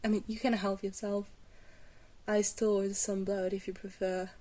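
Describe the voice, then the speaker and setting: Glamorous female vampire guide, played by a woman, ASMR roleplay. Unhurried, mid-pitched, soft and hesitant, reassuring, gently wry. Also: flat delivery, natural voice. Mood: reassuring, instructive.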